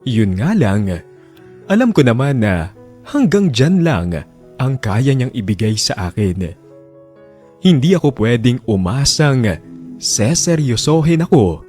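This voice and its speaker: A man narrating a radio drama in a measured, reflective way. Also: medium pitch, big pitch swings, darkish tone, faint music behind.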